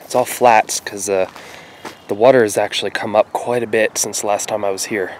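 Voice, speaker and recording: A young man casually narrating his fishing trip, speaking quietly as he stalks fish by a lake. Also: steady tone, fairly quick, a little hiss or hum. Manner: hushed and low while stalking fish. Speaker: fishing vlogger. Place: outdoors by lake flats, partly in a car. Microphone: action camera on head or in hand.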